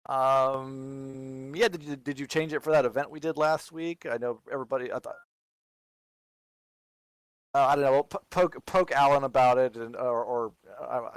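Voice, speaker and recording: Man chatting casually with smooth voice. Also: mid-range voice, moderate pace, dry recording. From Texas. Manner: thinks aloud, reading and answering viewer questions. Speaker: developer hosting a livestream. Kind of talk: casual live chat with viewers.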